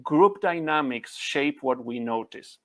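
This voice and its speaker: A man's voice: mid-range voice, lively intonation, measured pace, a bit bright. A middle-aged man from Argentina with an Argentine accent, a native Spanish speaker, presenting as a guest in an articulate, conversational storytelling style. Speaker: guest speaker, humanitarian researcher.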